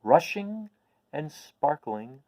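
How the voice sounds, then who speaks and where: A middle-aged man hosting a read-aloud for children, chatty and explanatory, moving between casual talk and expressive storybook reading. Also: a little high, slow, no room sound. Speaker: host of a read-aloud series.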